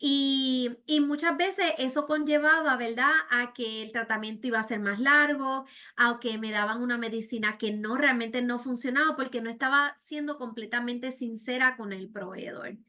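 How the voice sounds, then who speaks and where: A woman's voice: a little high, normal speed, hardly any pauses, sharp tone. A middle-aged woman with a Puerto Rican accent presenting a webinar to healthcare providers, earnest, with frequent fillers and "¿verdad?" tags. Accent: Puerto Rican Spanish. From Puerto Rico. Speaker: program director presenting a webinar.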